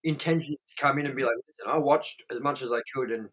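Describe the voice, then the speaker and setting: A man's voice: mid-range voice, quick pace, fairly bright tone, low-quality recording. A man co-hosting a podcast, talking casually and at length, rambling with lots of fillers and swearing. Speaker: podcast co-host. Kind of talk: casual chat.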